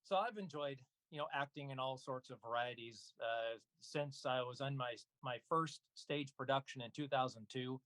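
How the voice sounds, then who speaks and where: Male interview guest, mid-range voice, dry sound, measured explanatory talk. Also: medium pitch, a bit bright, no room sound, low-quality recording. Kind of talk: casual conversation.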